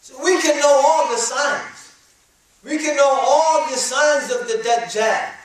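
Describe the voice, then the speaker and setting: A man giving a sermon, explaining scripture and reciting verses quickly in Arabic, which is not his first language, with emphatic, rising delivery on key points. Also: extremely high pitch. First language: English. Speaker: preacher. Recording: very echoey room, voice from a distance.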